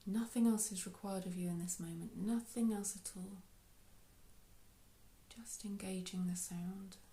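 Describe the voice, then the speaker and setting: A woman leading a guided meditation in a soft, unhurried, soothing way, with phrases trailing off into breath. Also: medium pitch, fairly even intonation. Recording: roomy, echoey sound.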